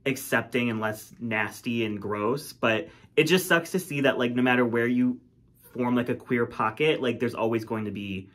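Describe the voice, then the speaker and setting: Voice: mid-range voice, moderate pace, a bit bright. A host steering a reunion panel, posing questions in an easy conversational way.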